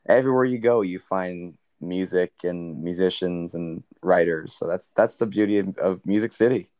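A young man with a very low, smooth baritone voice tells a story in an easygoing interview. He rambles a little, leaning on ums and you-knows. The sound is close and dry. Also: normal speed, no echo. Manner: relaxed, rambling with many fillers. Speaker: country singer, guest.

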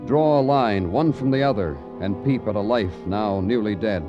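An actor playing a weary, wry police detective who speaks in clipped, lyrical lines in an old-time radio drama. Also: male voice, lowish voice, moderate pace, highs cut off, close to an everyday voice. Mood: brooding, wry. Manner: brooding, lyrical, clipped delivery. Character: weary, wry, poetic male police detective narrating his case. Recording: vintage radio recording with music.

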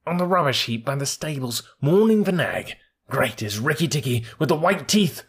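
A man voicing a silly, excitable male tailorbird with a husky, sing-song voice. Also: on the high side, moderate pace, pitched above the performer's own voice, full character voice. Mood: excited, boastful.

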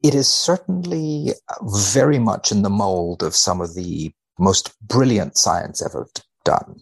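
A man speaking as a researcher guest in conversation, wry and measured, giving tongue-in-cheek commentary with mock seriousness. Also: lowish voice, expressive, vocal fry, breathy edge. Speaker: podcast guest, biomedical researcher.

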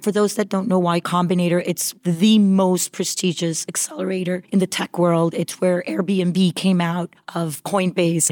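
A woman from Costa Rica in her forties, speaking as a guest in an interview, articulate and measured. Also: on the low side, a little mellow, no room sound, clean studio sound. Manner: articulate, measured business talk. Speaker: guest, venture capital founder. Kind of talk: conversational interview.

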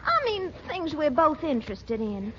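An actress playing a coy, genteel lady who gently scolds and coaxes her suitor in 1940s radio comedy style. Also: high voice, very animated, normal speed. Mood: gently scolding. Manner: coquettish, prim, coaxing. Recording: muffled, noisy 1940s radio recording.

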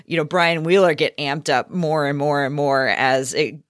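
A woman's voice: sits low, normal speed, dry recording, studio quality. A middle-aged woman hosting a sports podcast, chatty and conversational, thinking aloud as she goes. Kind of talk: casual sports chat.

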